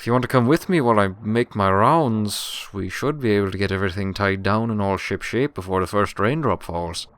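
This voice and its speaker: A man's voice: on the low side, a little fast, hardly any pauses, fairly bright tone, clear, resonant tone, no echo, natural voice. A man voicing an elderly, plainspoken pony servant with a deferential, folksy manner, in a story reading. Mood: dutiful, obliging. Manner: folksy rustic phrasing, says sir deferentially.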